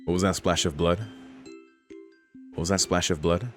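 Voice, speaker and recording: Low-voiced man streaming with music behind. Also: flat delivery, moderate pace, a bit bright. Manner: exclamatory, slangy, swearing. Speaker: gaming streamer reading a visual novel aloud. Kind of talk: casual playthrough, reading aloud with off-the-cuff reactions.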